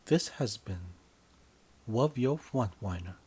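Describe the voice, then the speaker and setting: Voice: medium pitch, expressive, slow pace, a little mellow, husky. A narrator reading their own comic story in a mock-serious, theatrical, scripted style.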